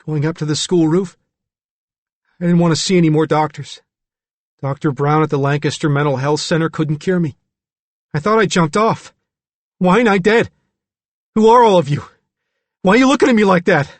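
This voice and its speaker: Audiobook role: scared, bewildered young man played by a male narrator, shift+ pitch, pleading and simple-spoken. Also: normal speed, pauses often, no room sound, lightly acted. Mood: frightened, confused. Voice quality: ungrammatical, pleading speech. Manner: anxious, bewildered, exclaiming. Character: frightened, dazed, childlike troubled young man.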